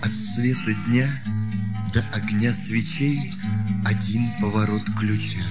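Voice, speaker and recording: A man's voice: fairly low pitch, steady tone, slow pace, highs cut off. A man singing an acoustic bard song as a singer-songwriter. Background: plucked acoustic guitar.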